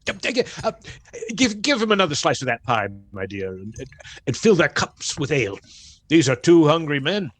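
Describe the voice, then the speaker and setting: An actor playing a hearty, generous master woodcarver in a radio play, with hesitant, old-fashioned jovial talk. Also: male voice, a little high, sing-song, normal speed.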